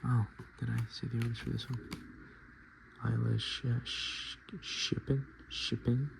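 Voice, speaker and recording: Softly murmuring, very creaky-voiced man. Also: mid-range voice, flat delivery. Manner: hesitant, halting, murmured. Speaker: ASMR video host. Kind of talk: soft-spoken and whispered ASMR, casual commentary. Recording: noticeable background noise.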